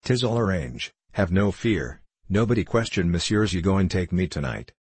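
A man's voice: sits low, expressive, moderate pace, husky, no echo. A man voicing a young French gambler in an audiobook, with a French accent and broken English, courtly, taunting and self-assured. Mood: smoothly insistent. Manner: broken English, courtly, theatrical chuckling asides. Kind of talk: audiobook character voice.

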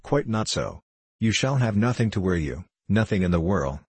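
Man acting a sly young Frenchman, low-pitched. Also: sing-song, normal speed, a little mellow, husky, no echo. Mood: gaily boastful. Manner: broken English, courtly, theatrical chuckling asides. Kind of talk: audiobook character voice.

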